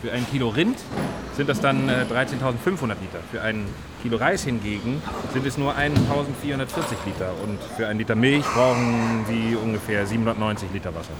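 An actor playing a nutrition scientist in a radio drama, explaining didactically with hesitations and little checking tags. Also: male voice, mid-range voice, a little fast, lightly acted. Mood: matter-of-fact. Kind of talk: naturalistic radio drama in reportage form. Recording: noisy outdoor-like ambience behind the voice.

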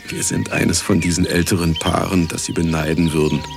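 An older scientist with a very low, gravelly voice. He is jaded and wry, narrating his regrets and defending himself in a radio play, with music behind him. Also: fairly even intonation, fairly quick. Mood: regretful, reflective. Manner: wry, dismissive, reflective. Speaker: actor and first-person narrator in a radio play.

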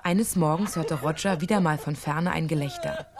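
Lowish-voiced wry storyteller. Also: female voice, normal speed, a crowd in the background. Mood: wry, suspenseful. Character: female storyteller, wry, vivid. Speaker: narrator. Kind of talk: scripted storybook narration for radio play.

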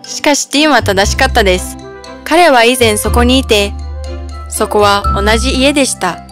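A woman's voice: fairly high pitch, normal speed. A woman narrator reading a scripted children's fairy tale. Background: music.

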